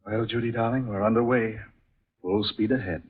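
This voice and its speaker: An actor playing an earnest, devoted adventure hero in an old radio drama. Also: male voice, lowish voice, steady tone, normal speed, narrow, tinny audio, lightly acted. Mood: relieved. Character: Philip, devoted male sweetheart, shipwreck adventure hero. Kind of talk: 1940s radio acting. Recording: noisy, thin vintage radio recording.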